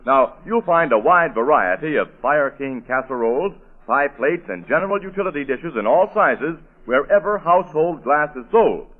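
An American man delivers polished 1940s radio announcements. His voice is fairly high and swings widely with emphatic, scripted delivery. The recording is thin and phone-like, with faint music. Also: lively intonation, normal speed, few pauses. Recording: tinny old radio broadcast, faint music.

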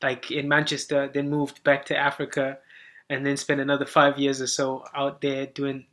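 A man's voice: medium pitch, monotone, normal speed, a bit bright. A man from Zimbabwe, a musician guest on a podcast, talking in an easygoing, friendly way and laughing often. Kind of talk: casual interview chat.

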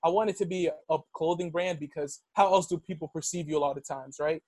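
Young man, high pitch, dry close sound, animated storytelling, filler-heavy speech. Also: fast, no echo. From Dominican. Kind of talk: casual conversation.

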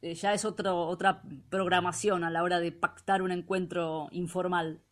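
A woman's voice: on the low side, flat delivery, moderate pace. Argentine woman radio host asking questions. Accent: Argentine Spanish. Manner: conversational, curious questioning. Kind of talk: radio interview.

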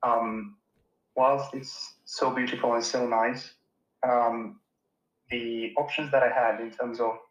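A young man from Romania speaks in a narrow, even tone, pausing often with fillers as he thinks through his answers. His voice comes through a dull, muffled line that bounces around an echoey room, like a remote video call. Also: medium pitch. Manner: thoughtful, hesitant, many fillers and restarts. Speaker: engineer guest. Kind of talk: casual interview answers.

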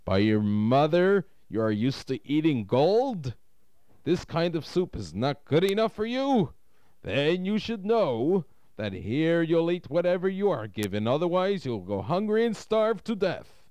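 Storybook uncle, acted out by a male narrator: Russian, blunt, quick-tempered, animated and lifted in pitch. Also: clear, resonant tone, no echo. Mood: angry, scolding. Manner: blunt, scolding, rhetorical questions. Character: gruff Russian uncle, jovial but quick-tempered husband.

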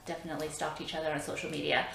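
A woman's voice: sits low, flat delivery, racing, vocal fry, fairly bright tone. Friendly woman co-host in an echoey room. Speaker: podcast co-host. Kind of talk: casual chat. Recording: echoey room with background music.

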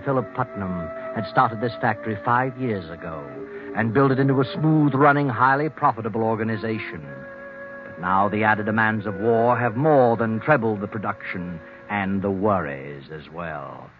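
Casting: male actor as sinister all-knowing radio narrator, 1940s mystery style, low and deliberate, muffled broadcast over organ music. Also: lightly acted.

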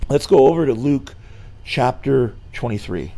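A slightly hoarse middle-aged man hosting a weekly church show, giving an earnest, informal Bible lesson with many fillers and restarts. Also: mid-range voice, animated, unhurried, warm tone. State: slightly hoarse, losing his voice.

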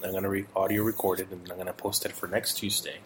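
Man, middle-aged, from Mexico, low voice, casual rambling update, fillers, faint noise behind. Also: quick pace. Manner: relaxed, rambling, with fillers and self-corrections. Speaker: audio blogger.

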